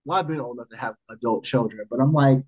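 A man in his forties co-hosting a podcast, talking in an animated, emphatic way and repeating phrases. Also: medium pitch, fast talker, muffled recording. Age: 40s. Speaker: podcast co-host. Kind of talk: casual chat.

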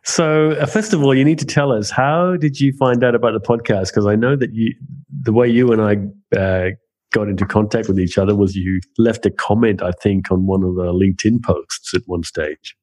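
Husky, lowish male podcast host, relaxed chatty delivery. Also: moderate pace. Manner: friendly, chatty, lots of fillers. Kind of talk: casual podcast chat.

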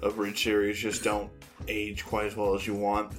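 A man's voice: fairly low pitch, completely flat delivery, moderate pace, faint music behind. A man co-hosting a podcast, chatting casually. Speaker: podcast co-host. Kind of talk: casual chat.

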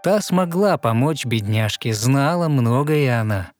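A man narrating a fairy tale in verse, in an expressive storytelling manner. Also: medium pitch, measured pace, faint music behind.

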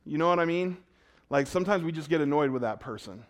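A man preaching a sermon in a conversational way, asking his congregation questions and swinging between loud, urgent lines and hushed ones. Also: fairly high pitch, expressive, fast talker. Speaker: pastor.

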